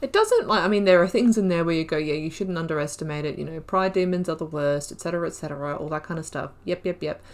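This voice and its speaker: A woman's voice: low voice, quick pace, hardly any pauses. A woman giving casual, chatty commentary on a game playthrough. She thinks aloud with enthusiasm and reads in-game lore entries aloud. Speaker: gaming channel host.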